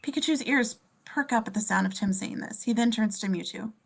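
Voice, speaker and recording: A woman's voice: a little high, a little fast, vocal fry. A woman narrating scene descriptions from a script at a table reading. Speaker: stage directions narrator.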